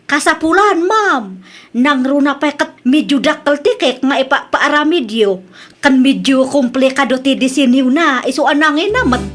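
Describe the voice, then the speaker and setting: A woman's voice: high voice, lively intonation, moderate pace, a bit bright. A woman with an Ilocano accent acting a polite village woman, friend and cake maker, in an audio drama. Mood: polite, businesslike.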